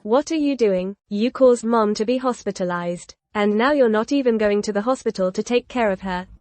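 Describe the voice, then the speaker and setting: A woman's voice: medium pitch, normal speed, darkish tone, no echo, natural voice. A woman playing a self-righteous, accusing young sister-in-law with an indignant, scolding manner in a dubbed radio drama. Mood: demanding, accusing.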